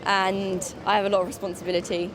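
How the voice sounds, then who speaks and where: Woman interviewed amid clear street noise. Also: medium pitch, little pitch movement, fast. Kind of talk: casual interview answers. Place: outdoors in a city. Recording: clear outdoor urban traffic noise.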